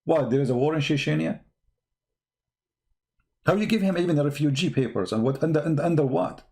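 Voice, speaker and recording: A man's voice: fairly high pitch, brisk, gravelly, darkish tone. A man who speaks English as a second language, giving impassioned off-the-cuff news commentary in an emphatic, repetitive way full of rhetorical questions. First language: not English.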